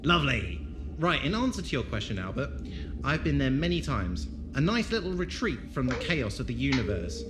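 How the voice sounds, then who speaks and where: Man acting a lively, eloquent space-travelling leader. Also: mid-range voice, moderate pace, noisy background, close to an everyday voice. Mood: breezy, wry. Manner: eloquent, showman-like, gently authoritative. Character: worldly, avuncular male space traveller, persuasive group leader. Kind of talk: fan audio drama acting.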